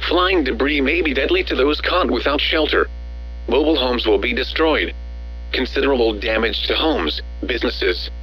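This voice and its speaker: A man's synthetic-sounding voice reading scripted emergency weather bulletins as a radio announcer, in a measured, formal, automated delivery. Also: medium pitch, a bit bright, breathy edge, poor audio.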